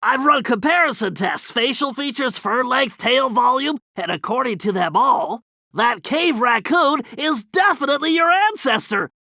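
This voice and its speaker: A man's voice: very high-pitched, lively intonation, moderate pace, pushed and bright, no echo, clean studio sound, heavily acted. A man voicing a brainy, fussy young cartoon turtle in a video game, nasal and precise, speaking with earnest emphasis as he plans missions. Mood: eager, explanatory.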